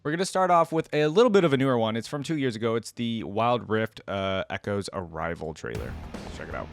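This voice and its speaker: A man's voice: on the low side, expressive, fairly quick, creaky, soft background music. A man giving casual reaction commentary on a video game, thinking aloud and reading descriptions out.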